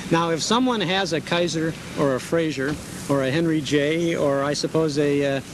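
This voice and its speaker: Higher-pitched male local TV host. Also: moderate pace, background noise. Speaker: show host and announcer. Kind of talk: local television hosting and announcing.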